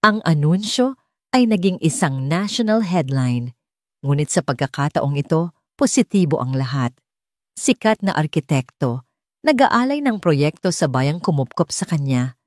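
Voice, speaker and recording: A woman's voice: low-pitched, big pitch swings, unhurried, darkish tone, no echo, clean studio sound. A woman with a Filipino accent reading a scripted romance story as an audiobook narrator.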